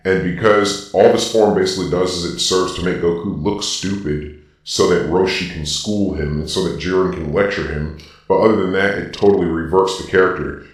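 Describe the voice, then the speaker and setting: A man with a robot voice filter giving opinionated ranking commentary as a video host, explaining his points emphatically and deliberately. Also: low-pitched. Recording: very echoey, distant sound.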